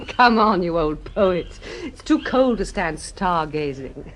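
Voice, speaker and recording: An actress with an English accent playing a poised, teasing woman in love in an old-fashioned radio drama, with a coquettish, brisk manner. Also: fairly low pitch, animated. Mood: playfully teasing. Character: poised, teasing woman luring her adoring lover along.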